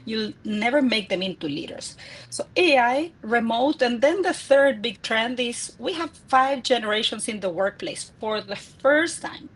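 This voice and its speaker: Middle-aged Argentine woman, husky voice, keen interview guest who restarts words. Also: fairly high pitch, low-quality recording. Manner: enthusiastic, repeats words as she restarts. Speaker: guest, executive coach and author. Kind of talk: interview answers.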